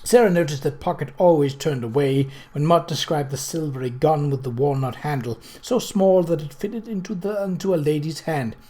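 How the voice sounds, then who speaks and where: Male narrator for storybook prose, voice pitched a little high. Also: normal speed, few pauses, warm tone. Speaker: audiobook reader.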